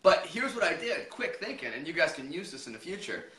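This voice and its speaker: A man's voice: on the high side, fairly quick, a crowd in the background. A man in his thirties chatting casually and profanely as a podcast co-host, acting out dialogue as he tells stories. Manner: animated, acts out quoted dialogue. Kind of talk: casual profane storytelling chat. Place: small living room, on a couch. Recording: echoey living room.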